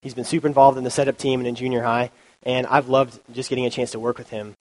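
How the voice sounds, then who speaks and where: A man's voice: medium pitch, little pitch movement, fast talker, a little mellow, poor audio. Young man with a cold, chatty youth sermon. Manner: informal, chatty, many ums and likes. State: has a cold, sniffly. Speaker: youth pastor. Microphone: handheld or stand mic.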